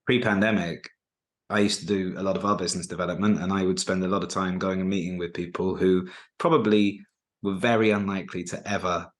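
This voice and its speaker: A man's voice: deep voice, fairly even intonation, a little fast. A man hosting an interview, agreeing readily with his guest and drawing him out with questions. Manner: agreeable, affirming, frequent backchannel. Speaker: interview host.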